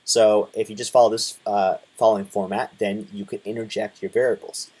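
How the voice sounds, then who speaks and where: Husky-voiced young man explaining code. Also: lowish voice, a little fast. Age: 20s. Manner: relaxed, many fillers and restarts. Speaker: coding tutor. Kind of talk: casual screen-share tutorial.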